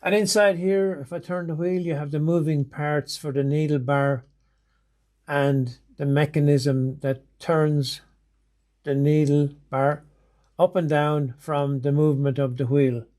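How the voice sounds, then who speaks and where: A middle-aged man with an Irish accent talks through a repair lesson step by step, methodical and plain-spoken. His voice sits a little high for a man. He often pauses on an um or an uh while he works. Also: steady tone, darkish tone. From Ireland. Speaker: sewing machine technician. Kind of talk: instructional demonstration.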